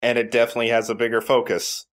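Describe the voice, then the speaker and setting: A man's voice: mid-range voice, completely flat delivery, very fast. A man giving casual, explanatory game commentary with rambling asides. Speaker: let's play commentator.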